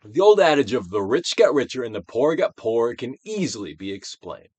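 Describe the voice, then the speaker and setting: A man giving a dramatic, theatrical reading of a self-help book, emphatic, with swells and hushed drops. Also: medium pitch, sing-song, a little fast, no room sound. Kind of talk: theatrical dramatic reading of scripted instructional text.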